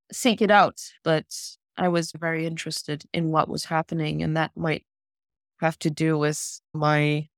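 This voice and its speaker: Low-pitched woman from south africa, thoughtful interview answers, dry close mic. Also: no room sound. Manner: measured, thoughtful, with pauses and restarts. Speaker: guest, human rights lawyer.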